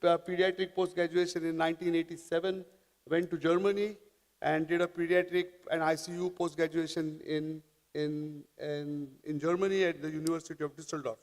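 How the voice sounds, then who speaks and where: A man speaks to a conference audience, his voice pitched a little high. He talks haltingly and often restarts his phrases. His voice carries a long reverb from a large hall. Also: little pitch movement. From India. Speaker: panel speaker. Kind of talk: conference talk.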